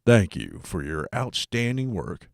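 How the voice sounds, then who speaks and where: A man playing an American president in an audiobook, giving a formal, measured address in a crisis. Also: on the low side, big pitch swings, vocal fry, no echo, clean studio sound, heavily acted. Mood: solemn.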